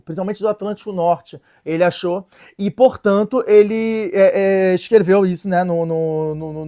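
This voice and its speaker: A woman presenting a casual lesson in an animated, joking way, stopping to read and translate sources. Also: mid-range voice. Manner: animated, playful, joking asides; reads and translates sources aloud. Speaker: religious video presenter.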